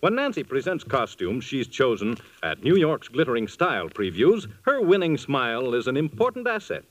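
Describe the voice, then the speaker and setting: A man's voice: mid-range voice, very animated, normal speed, no room sound. A man narrating a 1940s radio commercial as announcer, with a polished storytelling sales delivery. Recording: dull vintage radio recording.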